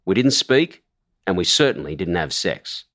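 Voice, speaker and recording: A man's voice: low-pitched, big pitch swings, normal speed, a bit bright. An actor playing a firm, indignant male witness, giving blunt denials in a re-enacted courtroom.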